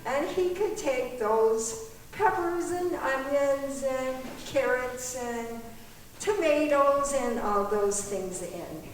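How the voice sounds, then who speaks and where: A woman's voice: high voice, slow pace. A woman speaking informally as a panelist, candid and reflective in her delivery. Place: echoey meetinghouse hall. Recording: echoey hall, distant mic, faint noise.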